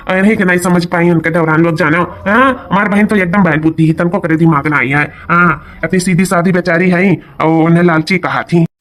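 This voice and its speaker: A man's voice: high-pitched, a bit bright, a little hiss or hum. A man voicing a generous, earnest village brother in a cartoon family comedy, with an Awadhi accent and an insistent, repetitive way of explaining.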